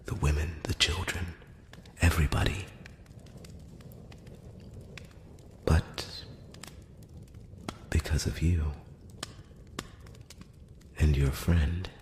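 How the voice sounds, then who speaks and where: Man as a very deep, whispering elf emissary. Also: level tone, a little mellow, roomy sound, a little hiss or hum. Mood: solemn. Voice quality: breathy, whispery, very low. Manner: slow, deliberate, formal, with long pauses. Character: elf emissary, male, solemn, measured and probing. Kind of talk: whispered ASMR fantasy roleplay. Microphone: close-up microphone.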